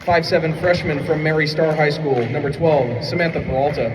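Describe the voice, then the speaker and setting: A man's voice: a little high, noisy background. A man making formal basketball announcements over a gym PA in a projected showman's delivery. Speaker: public address announcer. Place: indoor college basketball gym. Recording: echoey PA in a gym, distant mic.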